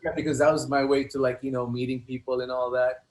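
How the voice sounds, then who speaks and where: Middle aged guy reminiscing in an interview, slangy and kinda halting, repeats his words, normal mid voice. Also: medium pitch, level tone, darkish tone, slight background noise, poor audio. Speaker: guest, rapper. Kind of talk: casual reminiscing interview chat.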